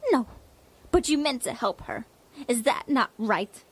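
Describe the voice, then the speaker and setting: A woman's voice: a little high, breathy edge. A woman voicing a domineering, mocking female leader of a savage tribe in a comedic fantasy audio drama. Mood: mocking, menacing. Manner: mocking, imperious, drawn-out delivery.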